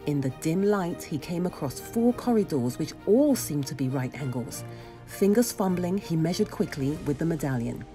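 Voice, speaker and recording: Female storybook narrator, lowish mellow voice, lively expressive delivery, faint music underneath. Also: moderate pace. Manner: dramatic, animated storytelling. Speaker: storybook reader. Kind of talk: expressive children's read-aloud narration.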